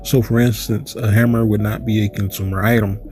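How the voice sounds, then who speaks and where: A man's voice: on the low side, little pitch movement, a little fast, darkish tone, slight background noise. A man hosting his channel in a rambling monologue, his voice stuffy from allergies, asking rhetorical questions and correcting himself. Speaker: channel host. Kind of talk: casual monologue to camera.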